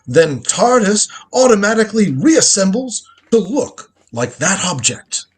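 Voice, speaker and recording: A crotchety old inventor, acted by a man in a radio play, proud and imperious. The voice is high and husky, with theatrical swings in pitch as he lectures like a grand old grandfather. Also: sing-song, a little slow, heavily acted. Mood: proud, lecturing. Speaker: lead actor in a live script reading. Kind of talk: live reading of a radio drama script.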